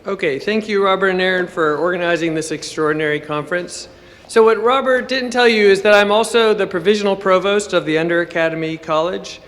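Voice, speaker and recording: A man's voice: on the high side, fairly bright tone. A man, a professor, giving an informal presentation at a conference. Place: conference hall at a university symposium. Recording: echoey hall with long reverb.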